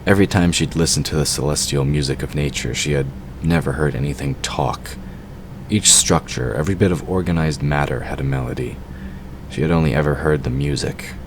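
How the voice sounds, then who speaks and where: A man's voice: very deep voice, a little fast, darkish tone, slight background noise. A man narrating a fantasy audio drama from a script.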